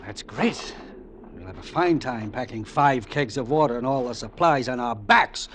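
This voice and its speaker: An actor playing a ruthless, scornful crime boss in an old-time radio drama, giving orders with cutting authority. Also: male voice, medium pitch, animated, moderate pace, a bit bright, slight background noise, lightly acted. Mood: angry, scornful.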